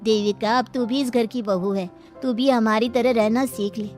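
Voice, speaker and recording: Lady playing a resigned aunty in a cartoon story, submissive, cautioning, highish voice, light music. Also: fairly even intonation, moderate pace, darkish tone, lightly acted. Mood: resigned advice. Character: resigned, submissive elder woman of the household. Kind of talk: cartoon moral story dialogue.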